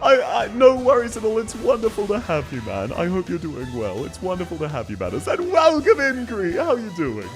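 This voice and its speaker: An Australian man chatting on a live stream, his voice pitched a little high and bouncing all over as he giggles through thank-yous. He talks close to a desk mic with faint music playing behind him. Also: wildly swooping pitch, fairly quick, hardly any pauses. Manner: giggly, thanks viewers warmly. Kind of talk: casual livestream chat.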